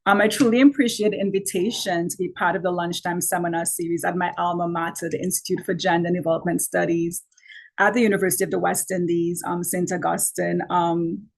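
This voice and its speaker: A woman's voice: lowish voice, level tone, fairly quick, gravelly. A Trinidadian woman with a Trini accent giving an earnest academic talk on a webinar, with frequent "you know" fillers. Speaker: guest speaker, academic editor. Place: online webinar.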